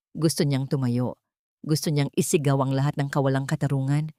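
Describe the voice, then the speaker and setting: A woman's voice: low-pitched, darkish tone, dry recording, studio quality. A woman with a Filipino accent reading a dramatic scripted story as an audiobook narrator.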